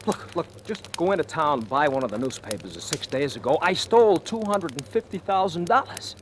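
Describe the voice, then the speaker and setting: An actor playing a desperate fugitive thief in a 1940s radio thriller, with an urgent, haunted delivery. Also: male voice, on the high side, big pitch swings, moderate pace, slight background noise. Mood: frantic pleading. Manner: stammering, pleading, urgent. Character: desperate handcuffed fugitive thief, male, frantic and scheming. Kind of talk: 1940s radio thriller acting, narrating and in dialogue. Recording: old radio recording with music.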